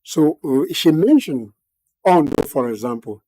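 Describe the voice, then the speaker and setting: Measured man, mellow voice. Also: fairly high pitch, animated, rough recording. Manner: measured, many "uh" pauses, formal phrasing. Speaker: guest analyst. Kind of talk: studio interview commentary.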